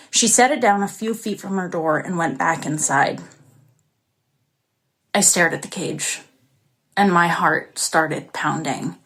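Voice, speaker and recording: Young woman narrator, audio drama monologue, mid-pitched, expressive, confiding, excitable, warm-hearted. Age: young adult. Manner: expressive, confiding, breaks into small laughs. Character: excitable, warm-hearted young woman living alone, telling her story. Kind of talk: dramatic first-person monologue.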